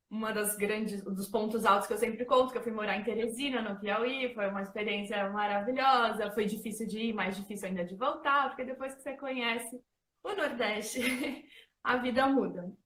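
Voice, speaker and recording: A woman's voice: a little high, a bit bright, roomy sound, rough recording. A woman in her thirties with a São Paulo accent, hosting a casual talk and presenting herself with many fillers. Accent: São Paulo Portuguese. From São Paulo, Brazil. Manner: chatty, many fillers like "né" and "então". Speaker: host and facilitator.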